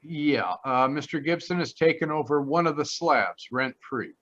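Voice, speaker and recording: A dull, lo-fi video call carries a bright, forward voice: a wry private detective from 1940s radio played by a man. He is blunt and deadpan, with a dry wisecracking streak. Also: on the high side, normal speed.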